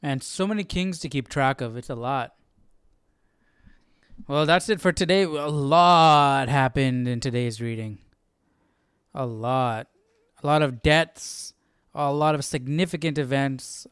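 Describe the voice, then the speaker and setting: A man's voice: mid-range voice, unhurried, fairly bright tone, smooth, no room sound, clean studio sound. A man hosting a casual Bible study livestream, commenting informally and thinking aloud. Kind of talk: casual live reading commentary.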